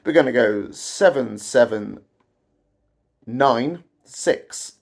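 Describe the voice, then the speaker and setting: A man teaching a guitar arrangement in a casual, wry, self-deprecating way, his voice croaky from an oncoming cold. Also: medium pitch, lively intonation, extremely slow. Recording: guitar playing behind the voice.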